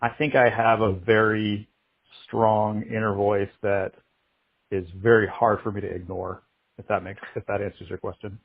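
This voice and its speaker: A man in his thirties speaking as an interview guest, halting and reflective, restarting his sentences often. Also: fairly low pitch, level tone, gravelly, dull audio. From United States. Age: 30s. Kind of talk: candid interview.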